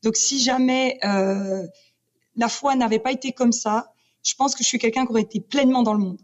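A woman's voice: a little high, normal speed. Passionate woman guest, lo-fi sound. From Canada, British Columbia. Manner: passionate, animated, restarts often. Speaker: guest speaker. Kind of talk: casual chat. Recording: dull lo-fi sound.

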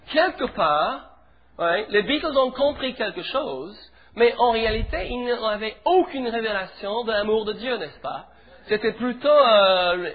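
A middle-aged man from England, a pastor preaching a sermon in French with an English accent, leading the congregation in animated declarations. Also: high-pitched. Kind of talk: sermon in church. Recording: tinny phone-like sound with faint music.

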